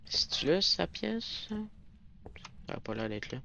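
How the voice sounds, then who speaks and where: Québécois male streamer, chatty play-by-play, bright voice, faint background noise. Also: a little high, very animated, a little slow, vocal fry. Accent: Québécois French. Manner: chatty, muttering to himself while playing. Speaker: streamer playing a ghost-hunting game. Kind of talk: casual gameplay commentary. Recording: slightly dull recording with faint noise.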